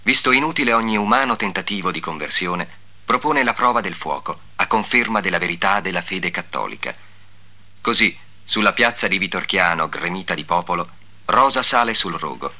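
A man's voice: sits low, expressive, a little fast, sharp tone. A man narrating in a formal, declamatory 1950s devotional documentary style, with solemn delivery. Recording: old 1950s soundtrack, music behind.

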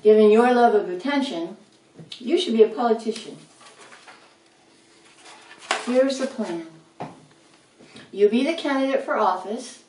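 A woman's voice: on the high side, a little slow, pauses often, warm tone, a little hiss or hum, close to an everyday voice. A woman voicing a cheerful, bossy male red rat who talks in upbeat rhymes, recorded in a roomy space a little off the mic. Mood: coaxing. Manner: upbeat, rhyming, coaxing. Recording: roomy, slightly distant mic.

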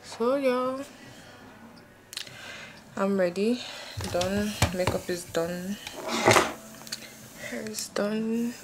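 A woman's voice: mid-range voice, drawn-out words, long pauses, very noisy. A young woman chatting casually and informally to camera in a slangy vlog style. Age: young adult. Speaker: vlogger.

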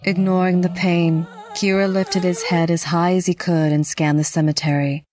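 A woman reading scripted narration for a dark fantasy audio drama. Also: lowish voice, flat delivery, normal speed.

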